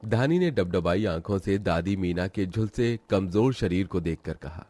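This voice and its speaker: A man's voice: low-pitched, expressive, moderate pace, warm tone. A man as narrator, reading a scripted cartoon story.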